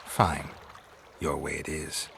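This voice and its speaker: A man voicing a gruff, stoic monster hunter in a video game, terse and matter-of-fact, with a gravelly voice. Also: rumbling low, flat delivery, slow, fairly bright tone, a little hiss or hum, full character voice. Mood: dry, businesslike.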